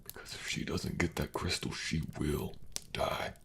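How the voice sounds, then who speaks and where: An actor playing a weary, dying miner in a fantasy audio drama, pleading in a weak, halting, breathy half-whisper. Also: male voice, a bass voice, exaggerated voice. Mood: desperate pleading. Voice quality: gravelly, breathy rasp.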